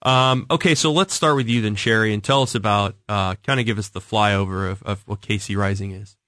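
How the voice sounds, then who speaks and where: A man's voice: fairly low pitch, steady tone, brisk, fairly bright tone, no echo, studio quality. An American man hosting an interview show in a conversational style, introducing guests and asking questions. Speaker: talk show host.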